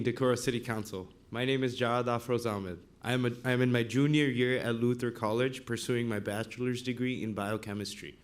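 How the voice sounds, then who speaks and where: Young man from Bangladesh, student rep, reads a measured formal address in a council chamber. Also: medium pitch. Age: 20s. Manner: formal, measured delivery of a written speech. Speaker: student representative. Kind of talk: prepared public address.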